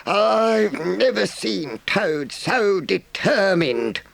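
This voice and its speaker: Storybook badger, elderly, solemn, stern yet kindly, high swooping pitch, slow emphatic oratory. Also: male voice, sing-song, vocal fry, a bit bright, no echo, heavily acted. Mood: resigned. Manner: pompous, slow, emphatic speechifying.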